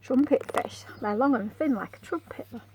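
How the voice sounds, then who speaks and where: A woman reading a picture book to young children in an expressive way, coaxing them with questions and exclamations. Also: high voice, moderate pace, noisy background. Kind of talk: animated storybook read-aloud with asides to children.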